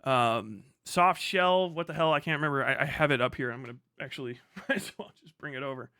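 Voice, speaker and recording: A man's voice: medium pitch, a little fast, sharp tone, clean tone, dry recording, studio quality. A man hosting a livestream, explaining things casually in a rambling, sweary way with asides to himself. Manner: rambling, self-interrupting asides. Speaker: livestream host.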